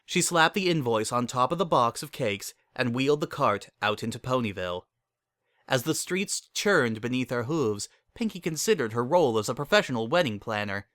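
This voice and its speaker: A man narrates a scripted story in an expressive dramatic reading. His voice is bright and animated, moving freely in pitch, recorded close and dry. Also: moderate pace, no room sound.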